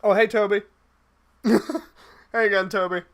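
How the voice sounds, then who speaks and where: A man's voice: high-pitched, little pitch movement, normal speed, a bit bright. A man chatting casually on a livestream as he replies to messages, rambling and hesitant, often trailing off mid-thought. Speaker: streamer and project lead casting voice roles.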